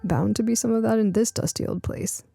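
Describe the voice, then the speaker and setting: Woman putting on an easygoing, creaky older woman. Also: on the high side, steady tone, brisk. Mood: amused, offhand.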